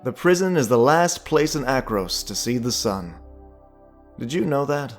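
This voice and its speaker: Male actor as bold young warrior leader, slow and declamatory, audio drama with light music. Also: medium pitch, lively intonation. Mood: reflective, proud.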